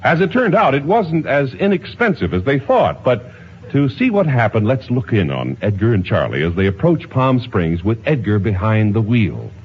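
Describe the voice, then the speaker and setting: A man's voice: medium pitch, big pitch swings, moderate pace, breathy edge. A man announcing a 1950s radio show in an emphatic, showy style. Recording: muffled 1950s radio recording. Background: loud music.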